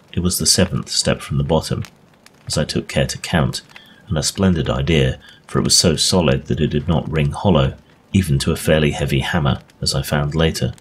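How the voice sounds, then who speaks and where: A man with an extremely deep voice reads an old-fashioned ghost story as a narrator. His delivery is that of a dramatic audiobook. The recording has clear background noise.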